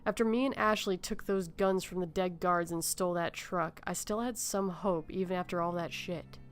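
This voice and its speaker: A woman's voice: fairly low pitch, steady tone, normal speed, close to an everyday voice. A woman playing a shaken, somber survivor, subdued and serious, in a horror audio drama. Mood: somber, resigned.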